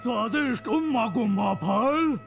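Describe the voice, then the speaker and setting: A man's voice: very high-pitched, animated, a little slow, creaky, heavily acted. A man acting a grumpy but good-hearted blustering giant king of the winds in a Hindi cartoon dub. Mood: booming. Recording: cartoon music behind the voice.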